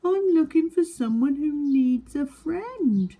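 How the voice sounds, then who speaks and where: A woman's voice: high-pitched, lively intonation, slow, warm tone, pitched above the performer's own voice, heavily acted. A woman voicing a small, lonely young male beaver in a children's storybook, plaintive, earnest and childlike. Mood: earnest, hopeful.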